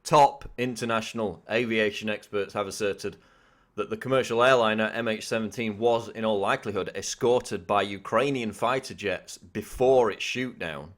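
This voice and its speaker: Low-pitched man delivering insistent news commentary. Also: normal speed, a bit bright. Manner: insistent, questioning. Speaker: political commentator. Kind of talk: scripted news commentary to camera.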